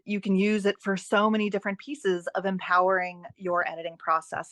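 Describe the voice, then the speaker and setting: A woman's voice: medium pitch, a bit bright, no echo. Emphatic woman giving encouraging advice, dry recording. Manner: emphatic, repeats phrases in lists for stress. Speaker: guest author and fiction editor. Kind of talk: podcast interview, giving practical advice.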